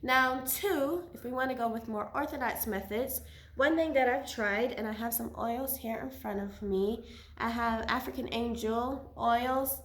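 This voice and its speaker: A woman in her thirties, recovering from a cold, chatting casually and warmly as she shares her hair care routine. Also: a little high. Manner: chatty, friendly, sprinkles religious phrases. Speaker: hijab seller and vlogger. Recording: echoey room.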